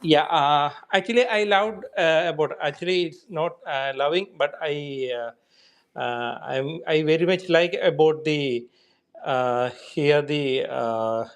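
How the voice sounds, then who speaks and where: A man's voice: fairly high pitch, slow pace, clear, resonant tone. A man introducing himself at a meetup, very hesitant with many fillers. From India. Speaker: meetup participant, job seeker. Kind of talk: self-introduction.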